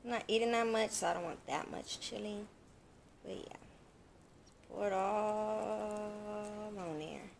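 A woman's voice: mid-range voice, takes it slowly, creaky, pauses often. A young woman chatting casually to viewers as she cooks in her home kitchen, filmed by a camera across the room. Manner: chatty, talks to viewers while cooking. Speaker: cooking vlogger. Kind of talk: casual cooking vlog. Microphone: fixed camera across the kitchen. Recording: echoey kitchen, far from mic, noisy.

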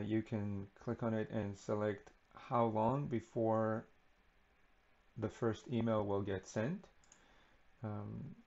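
A man giving an unscripted screen-recording walkthrough of software, relaxed and unhurried with frequent ums and uhs. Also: fairly low pitch, lo-fi sound. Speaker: software tutorial presenter.

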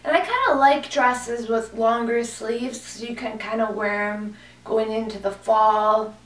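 A woman in her thirties, hoarse from a cold, chatting casually and gushing about clothes on camera in a small room, with lots of fillers. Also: on the high side, unhurried. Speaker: fashion vlogger. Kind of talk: casual clothing haul chat. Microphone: camera mic from a few feet away. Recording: echoey room, mic at a distance.

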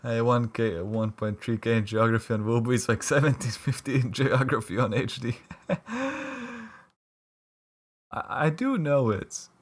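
A man's voice: medium pitch, expressive, measured pace, long pauses, breathy edge. A man from Latvia casually chatting with viewers on a game livestream, joking and laughing as he protests their teasing. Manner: jokey, laughs often, mock-protesting at chat teasing. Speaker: livestream caster. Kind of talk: casual live-stream chat with viewers.

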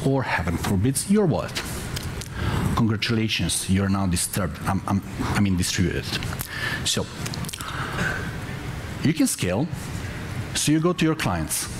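A middle-aged man with a Serbian accent speaks as a presenter at a tech conference. His voice sits fairly low and his delivery is dry and halting. There is noticeable background noise from the room. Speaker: conference speaker, software developer. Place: indoor conference stage before a seated audience. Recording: clear background noise, live conference hall ambience.

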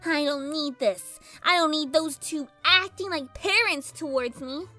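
A woman's voice: high-pitched, a little slow, pushed and bright, faint music behind. A woman voicing a bratty, indignant young girl pony villain in a fan fiction audio drama, whiny, exasperated and dramatic. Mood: resentful.

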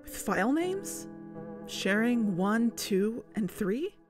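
A woman's voice: a little high, very animated, slow pace, music in the background. A woman playing a blunt, sarcastic amateur investigator in a conversational audio drama. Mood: exasperated, blunt.

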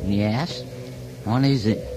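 An actor playing a lonely, timid mailman of about thirty in a radio drama, meek and halting. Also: lowish voice, warm tone, a little hiss or hum, low-quality recording. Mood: eager, unsure. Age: around 30.

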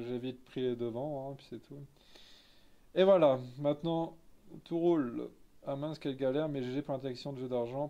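A man's voice: mid-range voice, warm tone. A man in his thirties with an eastern French accent, chatting casually and candidly on a live stream in informal slang. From Lorraine, France. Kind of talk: casual chat, reading and answering live chat. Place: small indoor photo studio office.

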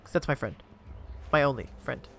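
A man hosting a let's play, chatting and joking in an animated way over his gameplay. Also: mid-range voice, moderate pace, creaky, noisy background. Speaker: gaming channel host.

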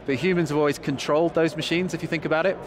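A man in his thirties with a Northern English accent, answering interview questions quickly and keenly with some fillers, at a convention hall. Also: on the high side, fairly even intonation. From England. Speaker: guest, company chief executive. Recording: busy trade show noise behind.

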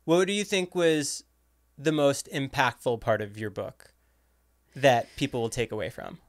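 A male podcast host who asks brief, curious questions in a relaxed conversational interview. His pitch is ordinary, and the sound is dry and close-miked. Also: a little slow, dry recording.

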